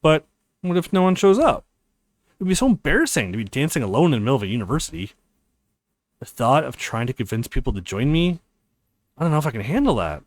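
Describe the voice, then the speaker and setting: A man's voice: medium pitch, sing-song, fast, long pauses, dry recording, natural voice. A discouraged young dance teacher played by a male reader, skeptical and downcast. The delivery rises and falls widely, recorded dry for visual novel dialogue. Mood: doubtful.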